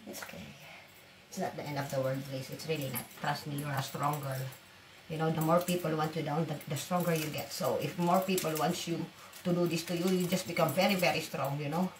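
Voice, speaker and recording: A low-voiced woman with a Filipino accent rambles bluntly and swears as she cooks at home. She sits back from the mic in an echoey kitchen, and loud sizzling and stirring carry behind her voice. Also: moderate pace, recorded from a distance, background noise. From Philippines. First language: Waray. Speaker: vlogger. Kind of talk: casual cooking vlog.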